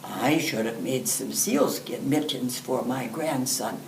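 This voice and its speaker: A woman's voice: rumbling low, darkish tone, pitched below the performer's own voice. An elderly woman voicing a kindly, reassuring old grandmother in a storybook. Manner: reassuring, unhurried. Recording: roomy, distant microphone, background hiss.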